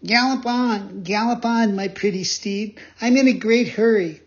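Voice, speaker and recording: A man acts out a little wooden puppet boy from a storybook. The voice is pitched far up and boyish, full of anguished, imploring earnestness. The recording is somewhat dull and lo-fi. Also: a little slow, darkish tone. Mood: eager. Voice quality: high, boyish put-on voice. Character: Pinocchio, young wooden boy marionette, anguished, pleading, excitable.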